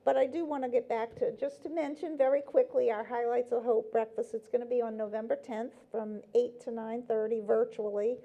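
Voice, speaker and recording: Mellow-voiced earnest woman guest. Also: on the high side, fairly even intonation, a little fast, few pauses, muffled recording. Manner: earnest, explaining, with many fillers. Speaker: guest from a nonprofit. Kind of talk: casual interview chat.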